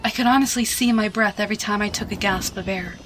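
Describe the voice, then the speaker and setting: A woman giving a hushed, expressive, dramatic reading of a suspense story, with music behind her. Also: medium pitch, fast, a little hiss or hum, rough recording. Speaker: fan fiction reader.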